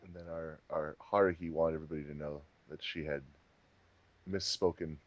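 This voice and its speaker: A man's voice: extremely low pitch, fairly even intonation, brisk, lo-fi sound. A man hosting a fan dub commentary track in casual chat, with dry, teasing humour.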